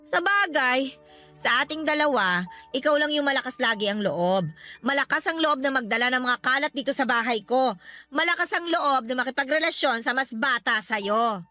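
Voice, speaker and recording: A woman's voice: sits high, lively intonation, moderate pace, sharp tone, dry recording, faint music behind. A woman playing a resentful older mother in a Tagalog radio drama, talking in a sarcastic, needling way. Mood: confrontational, bitter.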